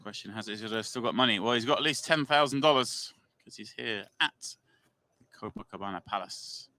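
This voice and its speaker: A man calling play-by-play on a live poker stream, brisk and lively. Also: lowish voice, no room sound. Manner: brisk, calls the action, acts out players' reactions.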